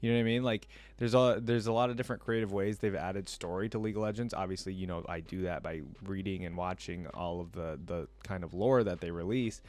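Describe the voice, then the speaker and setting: A man's voice: sits low, steady tone, fairly quick, few pauses, darkish tone. A man giving casual reaction commentary as a host, talking conversationally and directly to his viewers.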